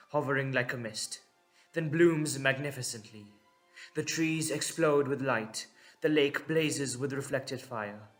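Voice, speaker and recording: A man narrating a dramatic story in short, measured, suspenseful phrases. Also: medium pitch, a bit bright.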